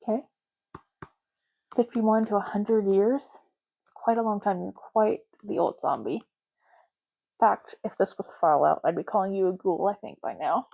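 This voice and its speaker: A soft-spoken woman doing an ASMR roleplay as a clerk, talking casually and matter-of-factly in unhurried short phrases with pauses. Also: medium pitch, vocal fry, muffled recording. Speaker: ASMR roleplay host, playing an intake clerk making ID cards.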